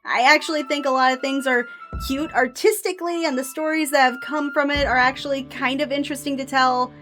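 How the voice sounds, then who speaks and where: A woman's voice: high-pitched, fairly even intonation, a little fast, fairly bright tone. A woman giving opinionated fan commentary in a conversational, self-questioning way, as the host of a video essay. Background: background music.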